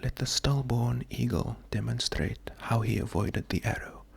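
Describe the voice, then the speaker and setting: A man doing a slow, soft-spoken ASMR poetry reading, gentle and calming, half-whispered with a breathy, creaky voice. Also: mid-range voice. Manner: gentle, unhurried, calming, often repeating words. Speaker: ASMR host.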